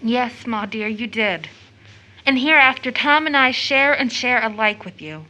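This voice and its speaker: A devoted young daughter in an audio drama. Her voice sits fairly high with a bright, tense edge. She speaks with tender, emotional concern, soothing her mother. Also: lively intonation, measured pace, poor audio. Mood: tender, distressed.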